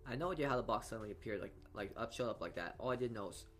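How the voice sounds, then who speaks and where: A man's voice: on the low side, animated, racing, fairly bright tone. A man reading visual novel narration aloud as a let's player, often stumbling over words. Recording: game music behind voice. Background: game music.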